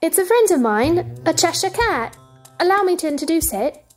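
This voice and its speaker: A polite, puzzled young girl in an audio play, voiced by a woman. Her voice is high and lively, earnest in delivery, with faint music behind. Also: moderate pace.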